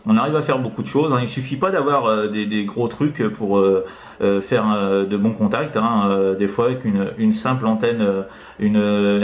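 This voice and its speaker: A man with a fairly low voice talks casually over amateur radio. He rambles hesitantly, tacking little tags onto his phrases. The room around him echoes clearly. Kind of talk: casual radio contact chat. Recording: roomy, echoing room.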